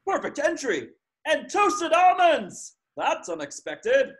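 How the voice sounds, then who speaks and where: A man's voice: very high-pitched, lively intonation, fairly bright tone, full character voice. A man playing a showy, easily amazed cartoon contest announcer with a dramatic delivery. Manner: drawn-out, dramatic. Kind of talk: cartoon sports commentary.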